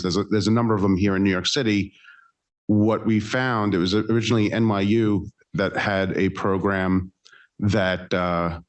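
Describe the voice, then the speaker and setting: Deep-voiced man speaking on a panel. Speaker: trade association panelist. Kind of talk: panel talk.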